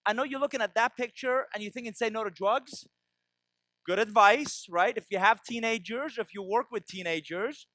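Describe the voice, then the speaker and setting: Man from iran, keynote speaker, high bright voice, dry close sound. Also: moderate pace, dry recording, rough recording. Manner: tags lines with "right?" to the audience. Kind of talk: business keynote with humor.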